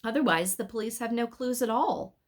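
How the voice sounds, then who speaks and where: A woman's voice: fairly high pitch, fairly quick, pitched above the performer's own voice, close to an everyday voice. A woman reading the lines of a sceptical, matter-of-fact father in a storybook. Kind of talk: storybook dialogue read aloud.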